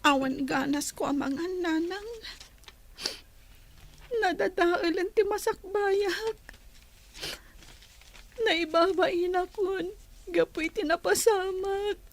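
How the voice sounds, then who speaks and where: A woman plays a wronged young village girl in an Ilocano radio drama. Her voice sits very high and moves slowly. She pleads tearfully, stretching words out in a plaintive way, with an Ilocano accent. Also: full character voice. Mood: despondent. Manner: tearful, drawn-out, plaintive delivery. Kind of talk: Filipino radio drama acting.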